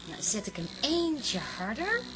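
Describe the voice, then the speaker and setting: A woman's voice: sits high, sing-song, a little slow, noisy background. A woman playing a young, helpful, matter-of-fact shop assistant in a Dutch radio comedy drama. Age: young adult.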